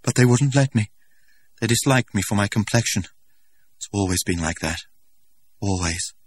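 A man's voice: mid-range voice, normal speed, no echo, voice pushed up, natural voice. A man doing an anguished, theatrical young stranger. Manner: trembling with emotion, old-fashioned theatrical phrasing. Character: emotional young man raised on a reservation, archaic speech.

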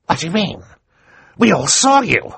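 A man's voice: a little high, very animated, moderate pace, creaky, fairly bright tone, breathy edge, dry recording, pitched above the performer's own voice, full character voice. A man playing a smug, menacing villainous count who hisses when crossed, in an audiobook acting style. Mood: demanding.

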